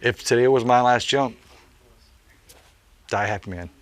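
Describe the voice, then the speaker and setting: A man's voice: medium pitch, unhurried. A man in his late forties, a parachute jumpmaster, telling stories in a casual interview with an earnest manner and many "you know" fillers. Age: late 40s.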